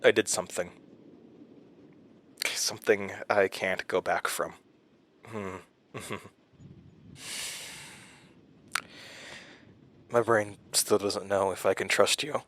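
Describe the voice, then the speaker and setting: An actor playing a haunted, weary, wry man who confides his story haltingly in a horror audio drama. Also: on the low side, fairly even intonation, a bit bright, slight background noise. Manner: hesitant, confessional, halting. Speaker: lead character and narrator of a horror audio drama. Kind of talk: horror audio drama acting, narration and intimate dialogue.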